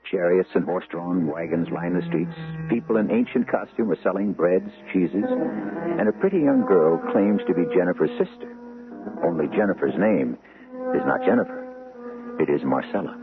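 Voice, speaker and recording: A man with an American accent narrating a radio mystery drama in a deliberate, portentous way. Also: low-pitched, lively intonation, phone-quality sound. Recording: band-limited old radio broadcast, music underneath.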